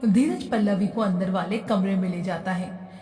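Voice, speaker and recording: A woman's voice: mid-range voice, normal speed, warm tone, soft background music. A woman reading scripted narration for a moral story as the narrator. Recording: echoey hall-like reverb, distant.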